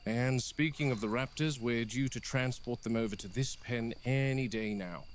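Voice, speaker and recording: A stern, exasperated game warden played by a man speaks gravely and bluntly, as if logging an incident for a found-footage horror film. The voice sits at a medium pitch and is deliberate, over faint noisy night ambience. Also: lightly acted. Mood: irritated, wary.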